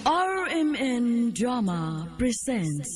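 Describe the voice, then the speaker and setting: Woman, Filipino accent, radio drama announcer, slow scripted delivery, dramatic, music playing behind. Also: on the high side, very animated.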